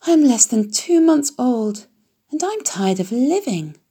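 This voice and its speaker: A woman's voice: mid-range voice, sing-song, moderate pace, vocal fry, warm tone, breathy edge, exaggerated voice. Woman doing a bored young pig.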